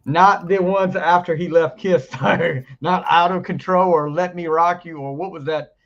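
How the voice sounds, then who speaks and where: A man co-hosting a casual podcast, rambling and laughing, his voice deeper than usual after the flu. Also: steady tone, a little slow, a bit bright, muffled recording. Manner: rambling, laughs often, trails off mid-sentence. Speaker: podcast co-host. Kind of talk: casual chat.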